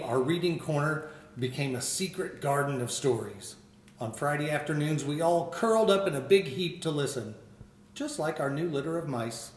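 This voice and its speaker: Older man, principal, picture-book read-aloud, expressive, fairly high voice, roomy echo. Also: distant mic, a little hiss or hum. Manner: expressive storytelling. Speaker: school principal reading aloud.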